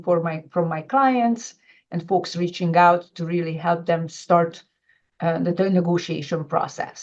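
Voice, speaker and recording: A middle-aged woman with a Hungarian accent, presenting an informal webinar as a financial advisor, halting and rephrasing as she explains. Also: on the low side. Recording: dull, lo-fi webinar audio.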